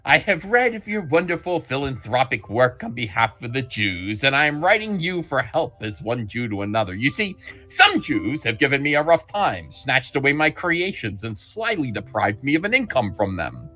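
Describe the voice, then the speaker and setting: Male livestream host, bright forceful tone with lively swings, theatrical and mocking delivery. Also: medium pitch, moderate pace, soft background music. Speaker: host of a comics talk livestream. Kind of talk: dramatic letter reading and casual group chat. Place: online group call.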